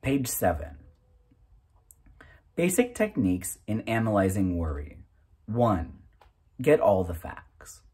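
A man in his thirties reads a list of principles aloud with a very husky, breathy voice. His delivery is slow and deliberate, pausing between items, while his pitch moves around in a lively way. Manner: deliberate, item-by-item delivery with pauses. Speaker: reader. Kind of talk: reading a self-help booklet aloud.

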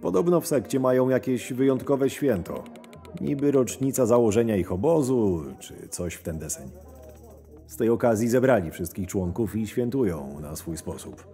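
A man playing a cynical, scheming camp henchman in an audio drama, narrating his days in a dry, measured, sardonic way. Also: mid-range voice, expressive, dark tone, close to an everyday voice. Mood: businesslike, deferential. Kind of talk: first-person scripted narration. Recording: music under the voice. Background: background music.

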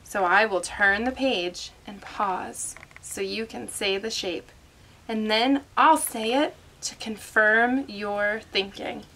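Female children's read-aloud host: unhurried, fairly high voice, prompting questions, faint noise behind. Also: bright, forceful tone, rough recording.

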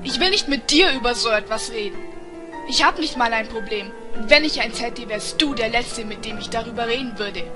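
A woman's voice: on the high side, fairly quick, music in the background, natural voice. A woman playing a stubborn, easily flustered teenage boy, indignant and defensive, in an amateur audio drama.

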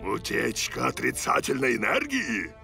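Menacing cartoon alien ruler: very gravelly, imperious, animated, over loud music. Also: male voice, mid-range voice, measured pace, heavily acted. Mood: angry, demanding. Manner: stern, imperious. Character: menacing alien overlord villain, male, commanding.

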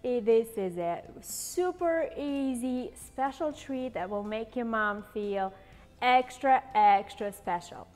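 A woman's voice: on the high side, animated, takes it slowly, a little mellow, in a large hall, soft background music. A woman in her forties hosting a cooking demonstration in a large home kitchen, chatty and bubbly, with a playful put-on Southern accent. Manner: chatty, bubbly, laughs often. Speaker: cooking show host.

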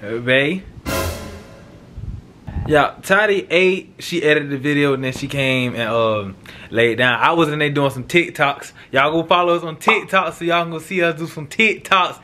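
A man in his twenties hosting a casual couple vlog, bantering in an animated, playful way. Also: fairly high pitch, normal speed, faint music behind. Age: 20s. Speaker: vlog host, prankster. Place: car interior, later a bedroom at home. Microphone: action camera in car, later handheld camera at arm's length. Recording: car and background noise.

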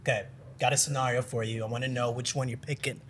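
A man's voice: mid-range voice, steady tone, fast, a bit bright, a little hiss or hum. Playful young man hosting. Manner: playful, slangy banter. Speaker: host. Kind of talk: casual interview chat. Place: indoor studio set with plants. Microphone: desk mic on stand.

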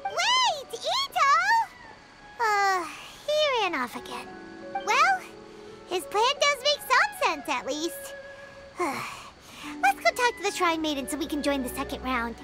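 Tiny fairy companion, extremely high squeaky voice, animated, exclamatory, indignant, anime game, music behind. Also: female voice, slow, full character voice. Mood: exasperated. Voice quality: squeaky, childlike. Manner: exclamatory, speaks of herself in third person. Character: tiny excitable floating fairy girl companion, bossy and indignant. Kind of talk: anime video game dialogue.

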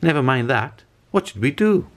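Excitable storybook kid, high and urgent acted by a male reader. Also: moderate pace, lightly acted. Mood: urgent wailing. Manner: wailing, urgent. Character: excitable young boy and girl siblings. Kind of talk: storybook character reading.

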